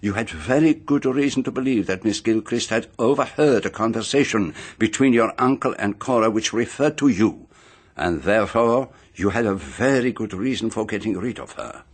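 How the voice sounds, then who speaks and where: A man's voice: fairly low pitch, animated, moderate pace, breathy edge. An actor playing a pompous, meticulous male private detective in a radio mystery drama, speaking formally and with theatrical deliberation. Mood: methodical revealing.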